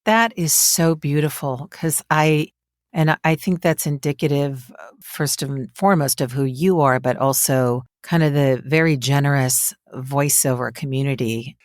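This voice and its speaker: A woman's voice: rumbling low, normal speed, no echo, studio quality. A middle-aged woman hosting a casual interview in an effusive, encouraging way. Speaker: podcast host.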